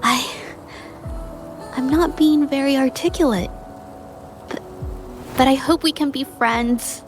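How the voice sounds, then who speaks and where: A woman's voice: sits high, slow pace. An actress voicing a shy, timid young woman painter in a video game, hesitant and stammering. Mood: shy earnest. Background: music.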